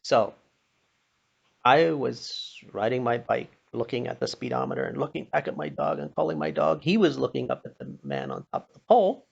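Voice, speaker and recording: An older North American man with a heavily creaky, gravelly voice tells a personal story with a moral. His delivery is plain-spoken, folksy and unhurried, with a somewhat husky edge. The recording sounds a bit dull and muffled. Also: medium pitch, big pitch swings. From Pennsylvania, United States.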